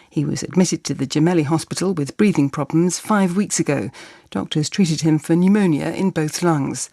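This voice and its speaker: A woman's voice: low-pitched, mellow, no room sound, clean studio sound. A woman with a British accent reading a formal, scripted radio news bulletin as newsreader. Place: dry broadcast radio studio.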